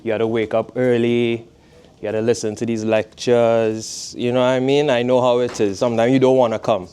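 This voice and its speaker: A man's voice: mid-range voice, flat delivery, moderate pace, dark tone, clean tone. A man in his twenties from Trinidad, with a Trinidadian accent, giving a laid-back, conversational guest talk to a college class. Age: 20s. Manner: laid-back, conversational, asks "you know what I mean". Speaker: guest speaker, footwear designer.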